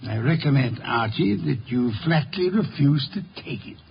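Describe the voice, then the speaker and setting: An actor playing a shrewd, imperious older detective in a 1950s radio drama, speaking formally and deliberately with commanding authority. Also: male voice, medium pitch, animated, vocal fry, slight background noise. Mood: imperious, skeptical. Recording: muffled old radio broadcast, phone-like.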